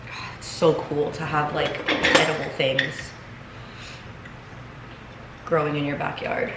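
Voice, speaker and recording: Young female vlogger, low pitch, stuffy congested voice, chatty and loose, in an echoey room with background noise. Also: level tone, slow pace. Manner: chatty, filler-heavy, talks straight to viewers. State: congested, recovering from illness. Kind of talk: casual chatty vlog. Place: home kitchen, then seated at home.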